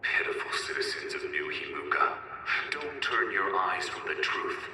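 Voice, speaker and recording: A man's voice: rumbling low, animated, moderate pace. A man voicing a defiant rebel leader giving a grave, declamatory political speech in a video game. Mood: grave, accusing. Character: defiant male rebel leader addressing a nation by broadcast. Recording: echoing broadcast, distant, faint noise.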